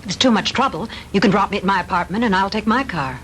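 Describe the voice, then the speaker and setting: An actress plays a troubled but determined woman in a 1960s B-movie melodrama. Her medium-pitched voice is earnest and anxious, on a slightly noisy old film soundtrack. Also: expressive, fast talker, fairly bright tone, lightly acted. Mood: upset, determined. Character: anxious, determined woman searching for missing sister.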